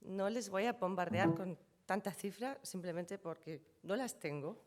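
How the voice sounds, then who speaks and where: A woman's voice: medium pitch, measured pace, a little mellow. A woman whose first language is German, giving a conference presentation and explaining figures step by step, often correcting herself as she goes. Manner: explanatory, self-correcting, walks through figures from slides. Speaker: conference speaker on trademarks.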